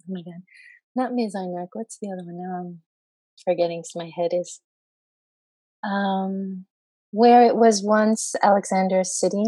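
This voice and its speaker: Brief: woman panel guest, a poet, dark mellow tone, halting delivery full of "um"s, dry close sound. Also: mid-range voice, steady tone, no echo, rough recording. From grew up in Saudi Arabia and the US. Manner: hesitant, many fillers and restarts. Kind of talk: panel discussion.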